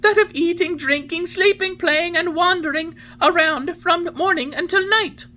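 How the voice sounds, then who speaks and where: A woman's voice: extremely high pitch, moderate pace, bright, forceful tone. A woman playing a cheeky wooden boy. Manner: cheeky, defiant retorts. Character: impudent wooden boy marionette, defiant.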